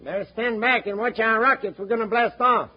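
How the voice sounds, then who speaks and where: An actor playing a stern, irritable scheming space outlaw, bossy and no-nonsense, in a 1950s radio serial. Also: male voice, high voice, brisk, lightly acted. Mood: curt, polite. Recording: muffled 1950s radio, heavy background noise.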